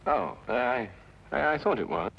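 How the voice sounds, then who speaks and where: An actor playing a polite, slightly bumbling gentleman in an old-time radio drama, mild and courteous in manner. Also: mid-range voice, animated, a little slow, gravelly, very noisy. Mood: mildly bemused. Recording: dull old radio recording with music.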